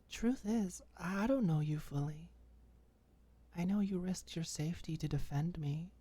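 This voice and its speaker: A woman voicing a gentle, hesitant young woman in an ASMR audiobook, mumbling softly and reassuringly. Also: lowish voice, slightly rough, voice pushed up. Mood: tender, reassuring. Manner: soft mumbling, halting. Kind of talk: audiobook character dialogue, ASMR.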